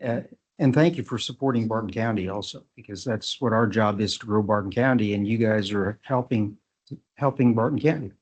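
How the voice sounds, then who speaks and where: A man's voice: on the low side, fairly quick, husky. A man chairing a county commission meeting, thanking guests in an earnest, plain-spoken way. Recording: dull lo-fi meeting recording.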